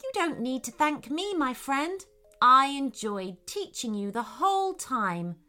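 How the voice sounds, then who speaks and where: A woman voicing a tiny, calm and wise male firefly who teaches, speaking in a relaxed way. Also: high voice, unhurried, soft background music, pitched above the performer's own voice, exaggerated voice. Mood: gentle.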